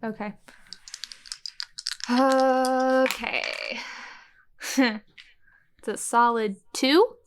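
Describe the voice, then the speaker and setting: A woman's voice: high voice, steady tone, extremely slow, pauses often, slight background noise. A woman playing a tabletop game, chatting casually and hesitantly about her character's moves. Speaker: player.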